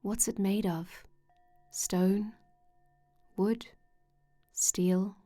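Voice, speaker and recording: Woman, guided meditation, mellow tone, very slow delivery, gentle, soothing, measured pauses. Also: medium pitch, fairly even intonation. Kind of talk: guided meditation narration, scripted. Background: soft meditation music with singing-bowl tones.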